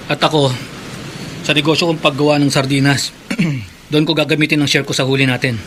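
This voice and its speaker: A guilt-ridden, suspicious Filipino fisherman played by a male actor in a horror radio serial. The voice is fairly high for a man and tense, over faint noise. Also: fairly even intonation, normal speed, fairly bright tone, natural voice. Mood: subdued.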